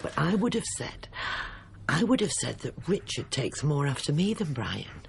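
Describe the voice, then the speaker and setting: Older mother, chatty and fretful, gravelly edge, animated delivery, naturalistic radio drama. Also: low-pitched, moderate pace, a little hiss or hum, full character voice. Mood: gossipy, defensive. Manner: rambling, seeks agreement.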